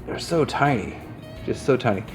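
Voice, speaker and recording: A man's voice: mid-range voice, expressive, normal speed. A man presenting an unscripted product review, rambling in an easygoing way as he thinks aloud while handling items, with music behind him.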